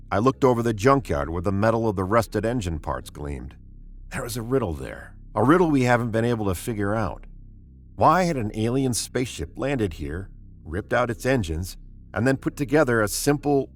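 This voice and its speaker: A man's voice: lowish voice, natural voice. A man acting in a 1950s radio drama as a brusque, authoritative spaceship captain who also narrates his story. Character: commanding, tense male spaceship captain, brooding narrator.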